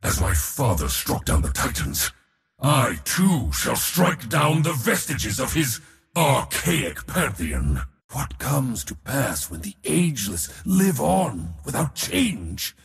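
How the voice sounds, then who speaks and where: An actor playing an arrogant, bloodthirsty war god for a video game, with a pompous, declamatory delivery. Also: male voice, medium pitch, sing-song, takes it slowly, gravelly, big-room sound, heavily acted. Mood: grave, resolute. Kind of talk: theatrical video game character acting.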